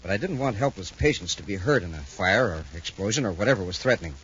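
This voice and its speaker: A man playing a distressed, apologetic hospital superintendent in a 1950s radio adventure, husky, earnest and halting. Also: lowish voice, animated, a bit bright, a little hiss or hum. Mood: flustered. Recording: dull 1950s radio recording.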